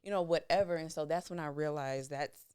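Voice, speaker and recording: A young woman, co-hosting a casual podcast chat with her best friend and telling stories animatedly, jumping high when she quotes herself. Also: a little fast, a little mellow, smooth, no echo, clean studio sound. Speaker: podcast co-host.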